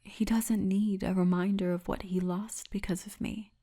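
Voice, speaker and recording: A woman voicing a big orc guardswoman, gentle, wry and commanding, in a hushed, breathy ASMR roleplay murmur. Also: mid-range voice, fairly even intonation, normal speed. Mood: wistful, guarded.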